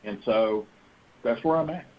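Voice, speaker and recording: A man's voice: mid-range voice, a little slow, slight background noise. A man hosting a conference call, talking in an earnest, folksy, conversational way and often rephrasing himself for emphasis. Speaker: host of a conference call, pastor. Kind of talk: earnest conversational monologue and storytelling. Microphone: telephone. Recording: dull, lo-fi conference phone call.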